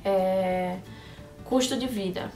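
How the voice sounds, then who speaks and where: A woman's voice: medium pitch, slow, mellow. A young woman from Brazil chatting casually to camera, answering viewers' questions and thinking aloud with frequent restarts. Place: indoor room in Orlando under bright lights. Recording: echoey room, voice a bit far from the mic, faint music behind.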